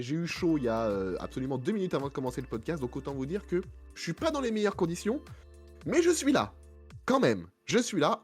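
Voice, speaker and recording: A man with a head cold and a hoarse voice, hosting a casual podcast chat with many fillers and self-mocking asides. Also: fairly high pitch. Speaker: podcast host.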